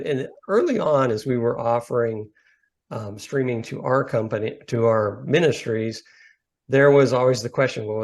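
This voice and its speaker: A man's voice: medium pitch, darkish tone. A man presenting a webinar over a video call, explaining points plainly and rephrasing as he thinks aloud. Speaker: company founder presenting.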